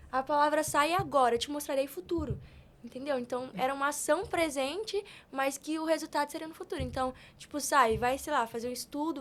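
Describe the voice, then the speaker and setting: A Brazilian woman talking conversationally as a podcast guest, with an earnest, emphatic, colloquial manner about her. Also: high-pitched. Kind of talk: conversational faith-based motivational talk.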